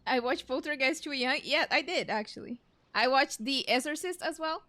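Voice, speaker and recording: A woman's voice: high-pitched, moderate pace, sharp tone. A young woman giving casual, chatty let's play commentary as a streamer, with a giggly, hesitant way of talking. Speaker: streamer playing a video game. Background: game music.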